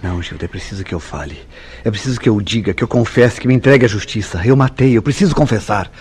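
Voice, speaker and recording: An actor with a Brazilian accent playing a guilt-ridden killer and bitter lover in a melodramatic radio drama, with theatrical, formal diction. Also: male voice, fairly low pitch, fast, darkish tone, husky. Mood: desperate, confessing. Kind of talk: old-fashioned melodramatic radio drama acting.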